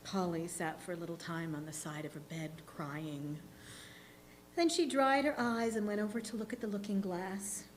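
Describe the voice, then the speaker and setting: A woman reading literary fiction aloud as a theatre reader, with measured, deliberate phrasing. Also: fairly low pitch, steady tone, slight background noise.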